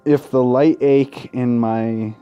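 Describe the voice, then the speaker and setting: A man's voice: medium pitch, steady tone, takes it slowly, clean tone, highs cut off. A man reading a visual novel aloud as a gaming host, tripping over words, correcting himself and dropping casual asides and swearing. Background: faint game music.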